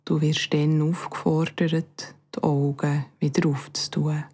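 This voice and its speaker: Slow, low-voiced Bernese woman guiding meditation. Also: husky. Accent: Bernese Swiss German. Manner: calm, soothing, measured with long pauses. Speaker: yoga nidra instructor. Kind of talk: guided meditation.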